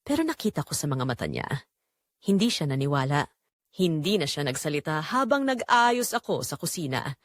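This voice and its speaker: Actress as sensual, restless Filipina wife confessing an affair; very animated pitch, dry close sound, audio drama narration. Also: female voice, moderate pace, no room sound. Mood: uneasy, intrigued.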